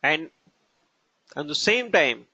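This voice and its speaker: A man with an Indian accent teaching as a trainer, explaining examples haltingly in short, broken phrases. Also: fairly high pitch, sing-song, bright, forceful tone, husky, no echo. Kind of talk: instructional lecture with examples. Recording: dull lo-fi narration, dry.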